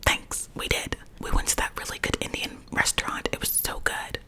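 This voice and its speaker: A woman's voice: moderate pace, lightly acted. A woman voicing a friendly, teasing young man in a whispered story reading. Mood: playful.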